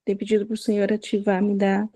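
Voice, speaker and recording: A woman's voice: mid-range voice, monotone, fast talker, warm tone, dry recording. A woman student asking her teacher questions tentatively, adding small tag words as she speaks.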